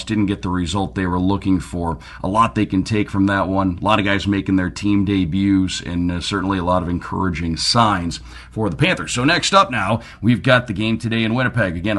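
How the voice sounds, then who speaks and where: Male sports radio host, fairly low voice, presenting hockey-show commentary. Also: a bit bright.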